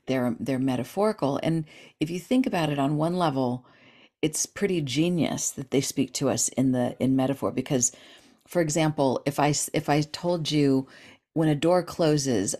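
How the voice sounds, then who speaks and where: A middle-aged woman with a low voice speaks in a relaxed, chatty way, explaining ideas with lively energy. She talks over a video call, warm and enthusiastic in delivery. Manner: animated, gestures with hands while talking. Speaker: dream expert guest. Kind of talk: casual interview chat.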